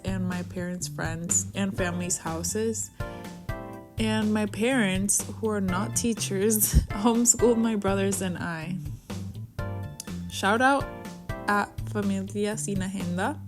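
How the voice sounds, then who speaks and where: 20 yr old girl from venezuela, native spanish speaker, cheerful vlog voiceover, music going behind her. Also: mid-range voice. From Caracas, Venezuela. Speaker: vlogger. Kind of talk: personal narration over a drawing video.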